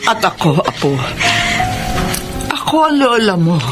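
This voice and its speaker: A woman's voice: mid-range voice, sing-song, measured pace, over loud music. A woman acting an anxious older mother figure in a Filipino radio drama, worried and emotional. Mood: tender, yearning.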